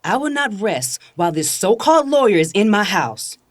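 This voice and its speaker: A woman's voice: medium pitch, very animated, normal speed. An actress playing a combative, biting mother who is furious and fiercely protective of her son in an audio drama. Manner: confrontational, biting, profane.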